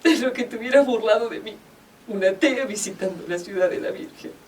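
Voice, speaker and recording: A woman's voice: fairly high pitch, fairly quick, close to an everyday voice. A woman acting a grieving, determined young woman in a radio drama. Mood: grieving resolve. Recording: distant mic, faint room noise.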